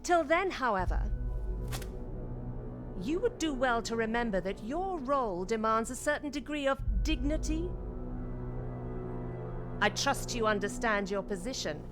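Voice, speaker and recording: An actress voicing a cold, scheming queen regent in a fantasy video game, imperious and formal in a measured delivery. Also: a little high, animated, over music. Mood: cold, scolding.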